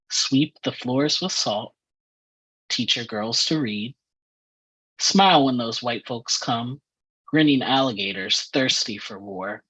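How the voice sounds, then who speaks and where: Male poetry reader, husky and unhurried, deliberate phrasing, on a slightly dull recording. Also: mid-range voice, a bit bright. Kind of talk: slow dramatic poetry reading. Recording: dull, lo-fi audio.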